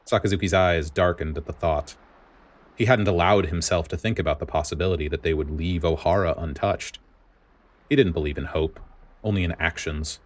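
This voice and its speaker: A man reading a story aloud as dramatic scripted narration, in a grave, deliberate manner. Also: low voice. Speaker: podfic reader.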